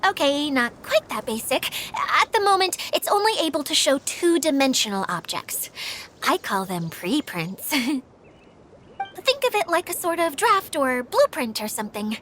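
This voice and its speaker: A woman's voice: high voice, sing-song, normal speed, a bit bright, slight background noise. A woman voicing a theatrical, easily deflated young female guide in a fantasy video game, showy and hesitant when flustered. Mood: cheerful, explaining. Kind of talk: video game character acting.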